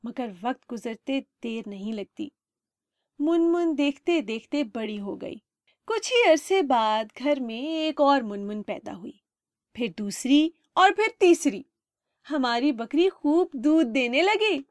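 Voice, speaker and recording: A high-pitched woman narrates a story for children. She reads expressively, as a storyteller does. The sound is close and dry, with very little room on it. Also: lively intonation, no room sound.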